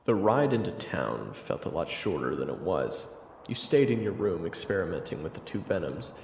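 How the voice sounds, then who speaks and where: A man playing a solemn storyteller, giving measured, scripted second-person narration for a fantasy audio drama. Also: low voice, very animated, creaky, a little mellow, reverberant, in a large hall, heavily acted. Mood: solemn, intent. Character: solemn storyteller recounting a dark fantasy past.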